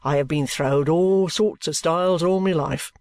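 A reader voicing an eager, rough, lower-old soldier in a storybook. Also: moderate pace, no echo, close to an everyday voice. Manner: eager, excitable, ungrammatical.

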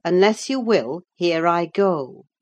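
A stern woman servant from a classic novel, acted by a female narrator, speaks with grave, scornful sarcasm. Her scolding is animated, with wide swings of pitch and a measured pace. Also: dull audio. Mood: firm bargaining. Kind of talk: audiobook character acting.

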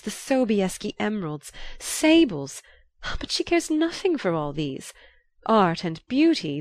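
A woman voicing an effusive, theatrical older marchioness in an audiobook, with a sighing, drawn-out, confiding delivery. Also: medium pitch, no echo, close to an everyday voice.

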